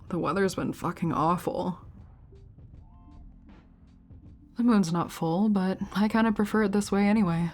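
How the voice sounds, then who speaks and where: Woman acting a teasing, caring older sister, low-toned. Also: lightly acted. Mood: gently teasing.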